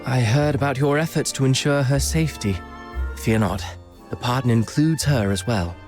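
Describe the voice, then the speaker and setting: Suave royal played by a male actor, mid-pitched, theatrical and wry, refined game dialogue over faint music. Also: normal speed, darkish tone, natural voice. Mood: reassuring. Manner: theatrical, refined formal diction. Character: suave aristocratic prince, eloquent, wry, caring toward friend. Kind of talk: video game voice acting. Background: background music.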